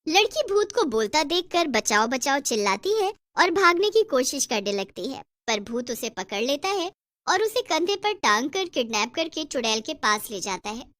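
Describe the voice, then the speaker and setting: Female horror storyteller, high voice, scripted narration, dry studio-like sound. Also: big pitch swings, normal speed, a bit bright, dry recording.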